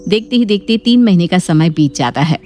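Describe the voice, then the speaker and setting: A woman's voice: fairly low pitch, animated, brisk, darkish tone, faint music behind. A woman narrating a scripted family moral story as a storyteller.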